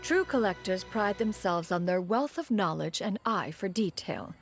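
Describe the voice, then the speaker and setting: A woman performs a proud immortal lady from a video game. She speaks in stately, archaic phrases with haughty, lively swings of pitch. Faint music sits underneath. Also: normal speed, close to an everyday voice. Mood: flattering, formal. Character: proud, formal adeptus woman, haughty but doting master.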